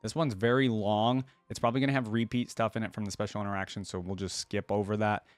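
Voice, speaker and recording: A man's voice: fairly low pitch, fairly even intonation, fast talker, dry recording, faint music behind. A man hosting a reaction video, talking casually and rambling informally as he muses, close to the microphone.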